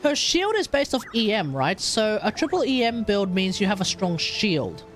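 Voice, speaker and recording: Australian game streamer, casual and rambling, middling pitch, music behind. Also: very animated, moderate pace. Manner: rambling, thinking aloud. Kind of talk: casual livestream gameplay chat. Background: game music and combat sounds.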